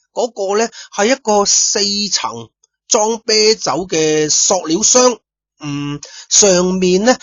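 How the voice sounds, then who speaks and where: A man's voice: fairly high pitch, dry recording. A man narrating a story as a storyteller, chatty with casual asides to listeners. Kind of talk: storytelling narration of a radio drama. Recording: dull lo-fi recording.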